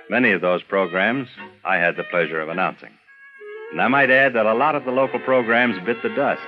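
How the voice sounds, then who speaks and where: An older American man, a veteran announcer, reading a scripted documentary narration about his profession. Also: fairly low pitch. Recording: dull vintage recording, music behind. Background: music.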